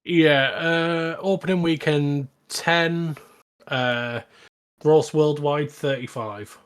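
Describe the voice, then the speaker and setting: A man's voice: a little high, slow pace, clear, resonant tone. A man co-hosting a podcast, chatting casually about films. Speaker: podcast co-host. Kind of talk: casual film chat.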